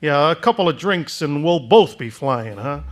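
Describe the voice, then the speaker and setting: A man's voice: fairly high pitch, animated, close to an everyday voice. A man doing a wry, desperate everyman. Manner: fast-talking, wry. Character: desperate, wisecracking everyman husband. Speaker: radio actor. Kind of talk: 1940s radio acting.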